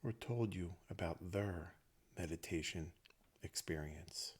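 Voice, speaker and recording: A man leading a guided meditation, speaking gently in short phrases with long pauses between them. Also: low voice, slightly rough. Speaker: meditation teacher.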